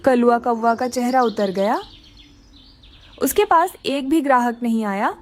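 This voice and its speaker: A woman's voice: a little high, unhurried, a little mellow, a little hiss or hum. A woman giving scripted narration for a children's animal story.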